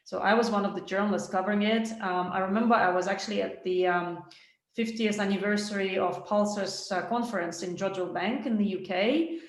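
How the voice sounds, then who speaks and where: A woman presents a science webinar over a video call in a very roomy, echoing space. Her pitch is average for a woman, and she talks like someone telling a story to friends, colloquial and conversational. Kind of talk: informal talk, storytelling about science. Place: indoor room, video call.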